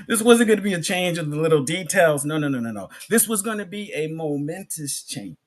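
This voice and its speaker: A man delivers a religious address in a fairly high voice with very lively, swinging intonation. He is emphatic, breaking thoughts into short phrases and repeating them for weight. He often puts rhetorical questions to his listeners. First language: English. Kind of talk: sermon, public religious lecture.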